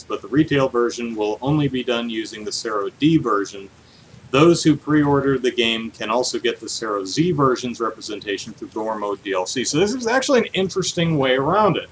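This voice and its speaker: A man's voice: medium pitch, slight background noise, poor audio. A man co-hosting a podcast, chatting casually about the news and thinking aloud in an informal way. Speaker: podcast co-host. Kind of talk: casual discussion of video game news.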